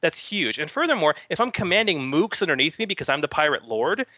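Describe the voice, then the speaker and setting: Enthusiastic male game master, bright tone, explanatory, dry close mic. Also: fairly high pitch, very animated, fairly quick, no room sound. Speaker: game master and podcast host. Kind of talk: casual tabletop game podcast chat.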